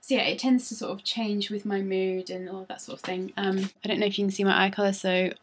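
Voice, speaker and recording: A woman's voice: medium pitch, little pitch movement, fairly quick, slight background noise. A woman, chatting casually through tag questions on her vlog with frequent ums, recorded on a laptop. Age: 20s. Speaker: vlogger.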